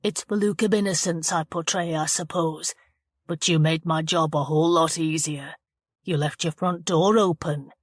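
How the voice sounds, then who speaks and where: A woman voicing a cold, menacing man who talks slowly with a sneer. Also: fairly even intonation, dry recording, studio quality. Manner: low, slow and sneering.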